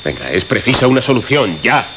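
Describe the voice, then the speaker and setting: An actor playing a tense, commanding radio producer, brisk and directive, in a suspense drama. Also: male voice, mid-range voice, very animated, close to an everyday voice. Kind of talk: Spanish radio drama acting. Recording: dull, noisy radio recording.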